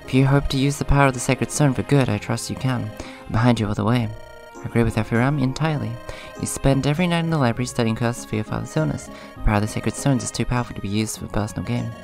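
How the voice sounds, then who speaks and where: A man hosts a casual let's play in a hoarse, subdued voice at an average pitch. He moves between rambling comments and reading on-screen dialogue. Music plays clearly behind him. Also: quick pace, hardly any pauses. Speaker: gaming video host. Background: video game music.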